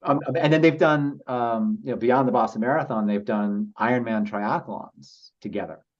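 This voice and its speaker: A man speaking as a podcast guest, telling personal stories in a halting, reflective and earnest way. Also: medium pitch. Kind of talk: casual interview storytelling. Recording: slightly dull lo-fi audio.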